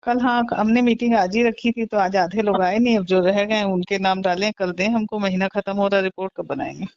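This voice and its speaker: A woman's voice: mid-range voice, a little fast, a little mellow, dry recording. A woman, talking casually and emphatically on the phone, often repeating her phrases. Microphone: phone call. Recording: muffled recorded phone call.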